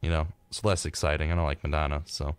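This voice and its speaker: A man with a very low-pitched voice chats casually as a radio co-host. He rambles and drops in wry reactions as he goes. The sound is close and dry, as in a studio. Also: level tone, fast talker, clean tone, no room sound. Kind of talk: casual, chatty live radio talk. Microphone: close studio microphone.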